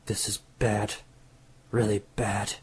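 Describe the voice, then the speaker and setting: A man voicing a calm, secretive water dragon demon who passes for a teenage boy, in an amateur audio drama. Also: lowish voice, slow, a little hiss or hum. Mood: guarded.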